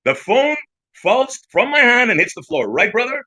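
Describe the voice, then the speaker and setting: A man's voice: very high voice, very animated, normal speed, over a noisy crowd. A man taunting his opponent in an online debate, calling him bro and pushing him to say more. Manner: taunting, calls others bro. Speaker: debate participant.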